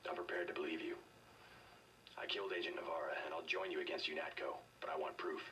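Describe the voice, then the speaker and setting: An actor playing a calm, gruff secret agent in a video game, speaking in a terse, deadpan way. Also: male voice, extremely low pitch, fast talker, recorded from a distance, slight background noise, low-quality recording. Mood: wary, resolute.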